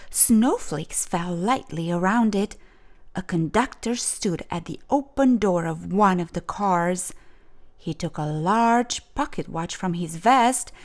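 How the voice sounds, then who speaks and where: Female storyteller for children's read-aloud. Expressive and deliberate, taking her time, with lively swings in pitch. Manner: deliberate, dramatic phrasing for children. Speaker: children's storybook reader, host.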